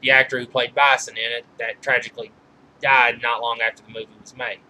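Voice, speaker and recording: A man giving informal film trivia commentary as a reviewer, halting and often correcting himself mid-sentence. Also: medium pitch, level tone, pushed and bright, slight background noise, poor audio. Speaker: movie reviewer.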